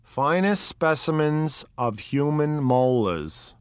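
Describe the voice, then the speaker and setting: Gloomy old gangster voiced by a male reader: raised pitch, dry close sound, broken grammar, dwells on the past. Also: animated, smooth, no room sound. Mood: brooding. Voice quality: broken, ungrammatical speech. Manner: brooding, slow, nostalgic.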